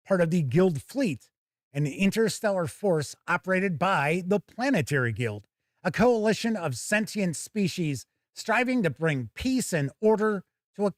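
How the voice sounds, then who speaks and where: A man's voice: high voice, big pitch swings, a little slow, dry recording, clean studio sound. A man hosting a tabletop gaming news show in a casual, chatty way, reading out items and adding wry asides. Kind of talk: casual live news commentary, reading blurbs and viewer comments.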